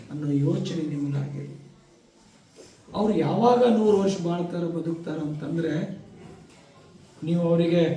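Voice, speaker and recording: A man with a North Karnataka Kannada accent gives an emphatic motivational speech to students in short, deliberate phrases. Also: on the high side, mellow. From Karnataka, India. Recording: echoey hall, distant microphone, audience noise.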